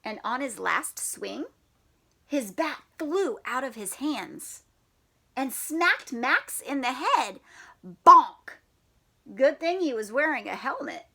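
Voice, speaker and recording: A woman reading a children's picture book aloud like a teacher, expressive with drawn-out words and playful questions to her young listeners. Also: sits high, creaky. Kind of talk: animated storybook read-aloud with questions to children.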